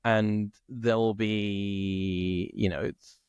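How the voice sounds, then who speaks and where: Man, from italy, podcast co-host, casual, lowish voice, keeps asking "right?". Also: flat delivery, extremely slow, a little mellow, clean tone, no room sound, highs cut off. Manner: thinks aloud, peppers speech with "like" and "right?". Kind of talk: casual conversation.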